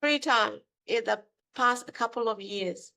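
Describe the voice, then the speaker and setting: A woman's voice: on the high side, slow, vocal fry, sharp tone. A woman, answering interview questions in broken English with hesitations and tag questions. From Myanmar. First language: Burmese. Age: 50s. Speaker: guest, mortgage broker.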